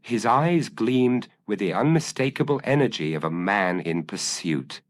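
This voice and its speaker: A man narrating a first-person detective audiobook in a measured, formal, literary manner. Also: fairly low pitch, lively intonation.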